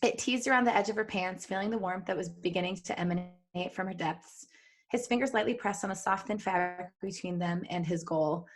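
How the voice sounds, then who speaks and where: A woman's voice: lowish voice, a little fast. A woman hosting a podcast, reading fan fiction aloud in a playful, giggly way with chatty asides. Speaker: podcast host.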